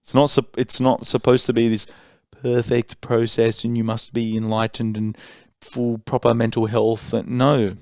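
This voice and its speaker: A man's voice: medium pitch, flat delivery, moderate pace, hardly any pauses, a little mellow, dry recording, clean studio sound. A man in his thirties hosting a podcast, talking bluntly and emphatically with a lot of swearing. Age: 30s. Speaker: podcast host. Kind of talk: candid conversation.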